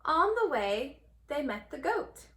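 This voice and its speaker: A woman reading a children's story aloud in an expressive storytelling manner. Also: high voice, slow pace. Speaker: storybook reader.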